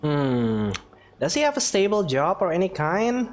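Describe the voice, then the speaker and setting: Animated, chatty gaming video host. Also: mid-range voice, measured pace, faint music behind. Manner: chatty, playful. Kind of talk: casual let's-play commentary.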